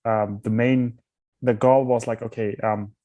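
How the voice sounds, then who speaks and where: A man's voice: mid-range voice, level tone, measured pace, mellow, dry recording, muffled recording. A young man from Armenia, a startup founder answering interview questions thoughtfully, often restarting his words.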